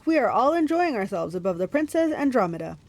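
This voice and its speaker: A woman's voice: fairly high pitch, very animated, quick pace, voice pushed up. A blank, entranced cruise passenger from a fantasy storybook, voiced by a woman. The words come out stilted, with glazed, scripted cheerfulness. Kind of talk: audiobook-style character voice in a fantasy story.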